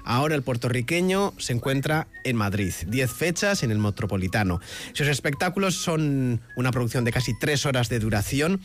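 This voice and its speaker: Mid-pitched man presenting over music. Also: animated, moderate pace. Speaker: show presenter. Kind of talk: light magazine-show presenting.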